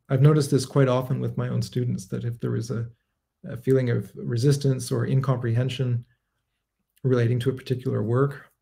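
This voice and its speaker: A man in his thirties, a composer, answering viewer questions on a livestream from his home study in a measured, reflective way, pausing and correcting himself as he thinks. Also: mid-range voice, gravelly, mellow. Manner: thoughtful, measured, with frequent fillers and self-corrections. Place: home study lined with bookshelves. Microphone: webcam framing at desk, livestream.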